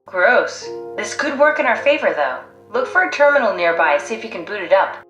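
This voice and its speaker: A woman's voice: medium pitch, big pitch swings, brisk, fairly bright tone, muffled recording. A woman playing a pragmatic, driven, blunt scientist in a video game, analytical, matter-of-fact and persuasive. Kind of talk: video game voice acting, naturalistic dialogue. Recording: echoey sound. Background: faint music.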